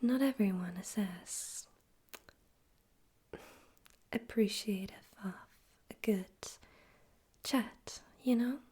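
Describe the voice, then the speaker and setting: Woman playing a theatrical male radio demon, ASMR roleplay, breathy hushed whisper, condescending, teasing, secretly soft, unhurried delivery. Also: medium pitch. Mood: cool warning. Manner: slow, deliberate, teasing, condescending.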